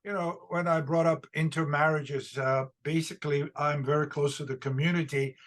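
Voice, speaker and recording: Man hosting an interview, voice a bit high, halting, tells little stories. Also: steady tone. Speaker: interviewer and host. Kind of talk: interview conversation.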